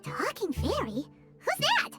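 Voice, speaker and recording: A woman voicing a tiny, cheeky fairy girl companion in a video game, squeaky, childlike and perky. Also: huge pitch swings, measured pace, music in the background, exaggerated voice. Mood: curious, teasing. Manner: perky, exclamatory, refers to herself by name.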